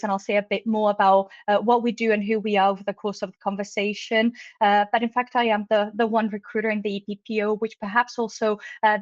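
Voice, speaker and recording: A woman's voice: medium pitch, no echo. Woman on a dull, dry video call. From Luxembourg. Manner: friendly, fluent, with frequent "um" and "uh" fillers. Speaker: HR recruitment officer, webinar panelist. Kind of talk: online panel talk.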